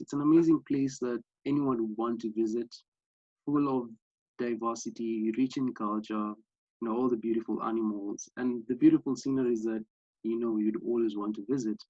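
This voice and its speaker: A man's voice: mid-range voice, dark tone, dry recording. Young kenyan guy giving an online workshop, earnest, dry close sound, kinda dull audio. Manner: earnest, with frequent fillers and restarts. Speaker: workshop presenter. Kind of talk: online masterclass presentation. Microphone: video call.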